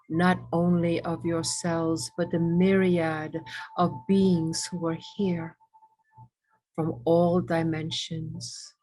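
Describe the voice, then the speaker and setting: A woman leading a guided meditation with ASMR elements, speaking reverently in short, measured phrases with pauses between them. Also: lowish voice, darkish tone, low-quality recording.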